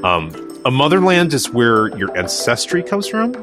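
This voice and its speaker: Animated man hosting a podcast. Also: mid-range voice, normal speed, music in the background. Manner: upbeat, lively, with fillers. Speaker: podcast host. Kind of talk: casual podcast chat.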